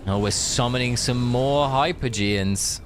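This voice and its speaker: A man's voice: mid-range voice, unhurried, a little hiss or hum. A young man streaming a game, giving loose, rambling commentary with lots of fillers. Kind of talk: casual gameplay commentary.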